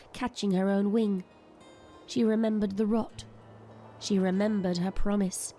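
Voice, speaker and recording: A woman's voice: mid-range voice, warm tone. A woman narrator reading a scripted, dramatic story.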